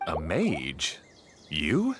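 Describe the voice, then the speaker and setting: An actor playing a laid-back, slangy rail caretaker in a video game, casual and expressive. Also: male voice, a bass voice, drawn-out words, a little hiss or hum, full character voice. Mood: doubtful, accepting. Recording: game music under the voice.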